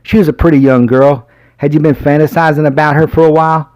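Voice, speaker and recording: A man doing a gruff, taunting old sheriff. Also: mid-range voice, normal speed, warm tone, no room sound, pitched above the performer's own voice, natural voice. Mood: accusing. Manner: taunting, accusing questions. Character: gruff older male small-town sheriff, menacing interrogator.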